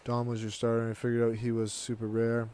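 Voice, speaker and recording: A man's voice: medium pitch, completely flat delivery, fast, warm tone, smooth. A man streaming a video game live, chatting casually and answering viewers' comments aloud in a laid-back way.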